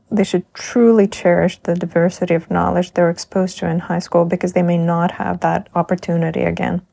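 A woman's voice: fairly low pitch, creaky, warm tone. A middle-aged woman, a crew member in a simulated Mars habitat, reflecting thoughtfully and earnestly in an audio log.

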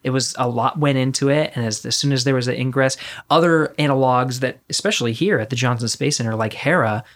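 Guy doing podcast interview, chatty, kinda stop and start, normal pitch. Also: a little fast. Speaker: podcast host. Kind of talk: conversational interview.

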